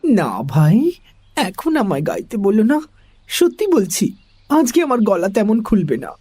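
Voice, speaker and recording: A woman's voice: medium pitch, big pitch swings, measured pace, dark tone, a little hiss or hum. A woman voicing a coy, shameless bald man who wheedles and whines about his singing in a comic children's audio story. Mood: coy, wheedling.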